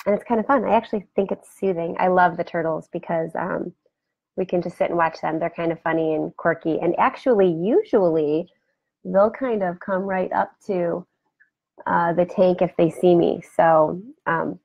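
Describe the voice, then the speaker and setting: A woman hosting a casual live video chat from her home, rambling warmly and greeting viewers by name, her voice scratchy today. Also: fairly low pitch, gravelly, no echo, lo-fi sound. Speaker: host. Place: home room beside a turtle aquarium. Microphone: webcam for a live video.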